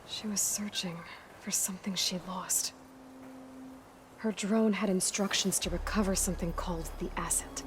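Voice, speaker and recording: A woman's voice: steady tone, moderate pace, background noise, lightly acted. An actress playing a determined young woman warrior who leads a small party in a game, serious and commanding. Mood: serious, focused. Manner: serious, commanding, low-key. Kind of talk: naturalistic game cutscene acting.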